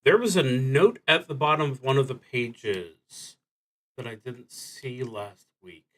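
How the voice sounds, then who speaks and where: A man with a mid-pitched voice hosts a solo tabletop role-playing stream. He talks very slowly and casually, often pausing mid-sentence to find the next phrase. His delivery is wry and self-deprecating. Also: a bit bright. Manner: halting, with long pauses mid-sentence. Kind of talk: casual livestream talk introducing a game.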